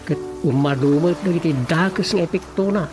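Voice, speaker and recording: A man's voice: on the high side, moderate pace, lightly acted. A man with an Ilocano accent playing an earnest village son in a radio drama. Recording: radio drama over clear music bed.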